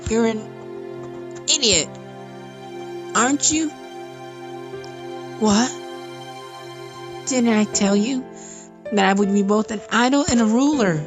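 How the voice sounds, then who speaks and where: A girlish, high-voiced idol singer from a fantasy game, played by a man, brash and eager. She talks in a blunt, informal way over prominent music. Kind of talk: acted fantasy game cutscene reading. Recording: music behind the voice.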